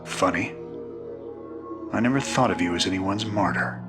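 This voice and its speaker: An actor playing an indignant, rebellious soldier captain in a sci-fi game, with a blunt, sardonic, plain-spoken delivery. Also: male voice, low voice, moderate pace. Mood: bitter, sardonic. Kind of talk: sci-fi game cutscene acting. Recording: music behind the dialogue.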